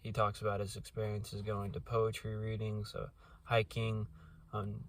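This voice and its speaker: Young male book reviewer, low flat voice, rambly and casual, recorded from a distance with faint noise. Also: unhurried. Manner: rambling, many fillers and restarts.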